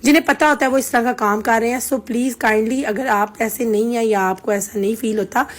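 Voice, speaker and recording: A hoarse woman with a sore throat, vlogging casually and earnestly giving her opinion straight to her viewers. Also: a little high, steady tone, brisk. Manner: chatty and earnest, addressing viewers directly.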